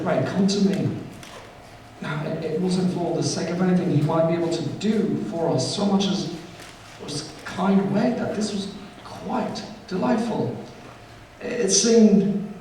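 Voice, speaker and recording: Gentle grieving father, highish voice, echoey. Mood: moved, grateful. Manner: halting, earnest, tender. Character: gentle grieving poor father, tender and humble. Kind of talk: live stage radio play. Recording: very echoey stage, distant mic, faint noise.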